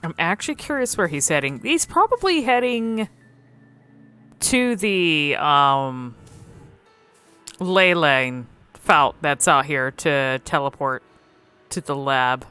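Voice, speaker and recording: Low-voiced, bright woman doing game commentary. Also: expressive, clear, resonant tone, soft background music. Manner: halting, musing, thinking aloud. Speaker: gaming video creator. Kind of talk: casual exploratory game commentary.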